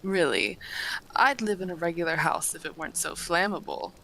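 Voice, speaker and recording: A woman's voice: lowish voice, gravelly, fairly bright tone, a little hiss or hum, low-quality recording. A woman playing a courteous, refined half-dragon hostess in a fantasy roleplay, speaking formally with dry teasing. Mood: explaining, proud. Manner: genteel, formal, unhurried wording with dry teasing. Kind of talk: fantasy roleplay audio acting, addressing the listener.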